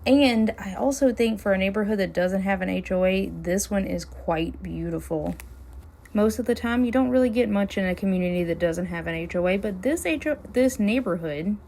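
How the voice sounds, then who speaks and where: A woman realtor, chatting casually while driving, her voice hoarse and scratchy as she loses it. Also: lowish voice, a little mellow. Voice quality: gravelly, hoarse. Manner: friendly, chatty, upbeat sales talk. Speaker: realtor hosting a neighborhood tour channel. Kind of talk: casual driving-tour vlog talk. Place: inside a car, driving.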